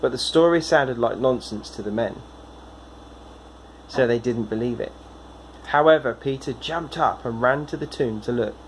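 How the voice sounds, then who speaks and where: A man's voice: medium pitch, normal speed, pauses often, a little hiss or hum, poor audio. A man in his thirties reading a Bible passage aloud at home into a phone. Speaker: Bible reader. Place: room at home, indoors. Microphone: phone propped at arm's length.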